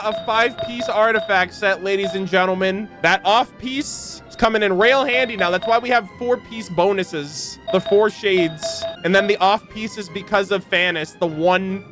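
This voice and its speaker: A man doing excited reaction commentary as a game streamer, reading aloud and commenting in an animated, exclamatory way. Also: sits high. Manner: animated, exclamatory, swears freely. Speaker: streamer reacting to a video game's lore.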